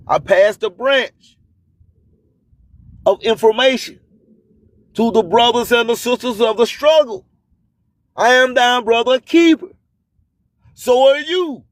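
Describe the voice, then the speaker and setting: Very high-pitched man preaching slogans on radio. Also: no room sound. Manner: preachy, sloganeering, repeats rhymed catchphrases. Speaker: host of an internet radio show. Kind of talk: motivational spoken-word radio monologue.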